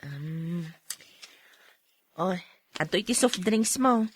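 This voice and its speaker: A woman's voice: fairly low pitch, lively intonation, slow pace, slightly rough, full character voice. A woman with an Ilocano accent playing a frank, teasing shopkeeper in a radio drama. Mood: chatty.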